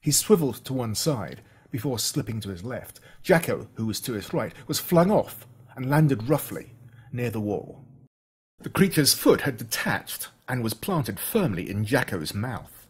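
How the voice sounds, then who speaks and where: Husky Australian man narrating with dramatic swings. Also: medium pitch, very animated. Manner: dramatic, suspenseful delivery with slowed emphatic words. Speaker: audiobook narrator. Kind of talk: scripted first-person story narration.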